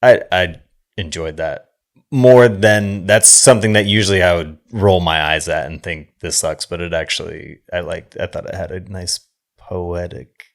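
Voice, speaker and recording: Male podcast co-host, low-pitched, conversational, retelling scenes loosely and pausing to check his word choices. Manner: searches for words, checks terms aloud. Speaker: podcast co-host discussing films. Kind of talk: casual conversational film recap.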